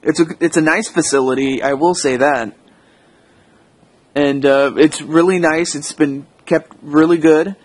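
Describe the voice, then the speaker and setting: A man's voice: fairly high pitch, fairly even intonation, a little slow. An American man giving a casual, unscripted audio log, hesitant and rambling, often correcting himself as he goes. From United States.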